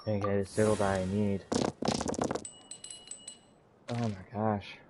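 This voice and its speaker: Male gamer, lowish voice, casual think-aloud play commentary, short muttered fragments, noticeable background noise. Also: completely flat delivery, slow. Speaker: video game streamer. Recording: clearly noisy background.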